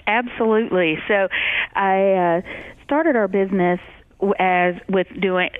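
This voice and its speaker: A woman's voice: medium pitch, fairly even intonation, unhurried, no echo, phone-quality sound. A woman from Georgia, whose first language is German, talking in a chatty way about her travel agency, with many fillers and restarts. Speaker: travel agency owner, guest. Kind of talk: radio interview, casual.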